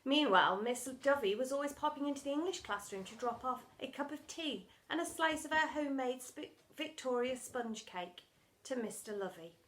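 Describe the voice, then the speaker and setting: A woman reading a children's story aloud in an animated, theatrical storytelling style. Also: high voice, normal speed, echoey room. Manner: expressive, theatrical delivery with acted sound words.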